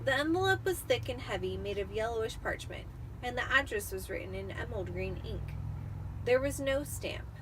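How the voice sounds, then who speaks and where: A woman's voice: a little high, expressive, moderate pace, fairly bright tone, recorded from a distance, a little hiss or hum, low-quality recording. A tipsy woman doing an amateur, dramatic reading of a story as narrator. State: tipsy from wine. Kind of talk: dramatic audiobook-style storytelling.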